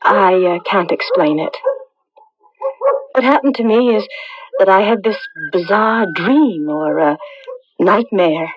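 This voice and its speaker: A woman's voice: mid-range voice, takes it slowly, pauses often, a little hiss or hum, lightly acted. An actress playing an earnest woman in an eerie 1980s radio melodrama. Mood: evasive. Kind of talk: 1980s radio drama acting. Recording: muffled old radio broadcast.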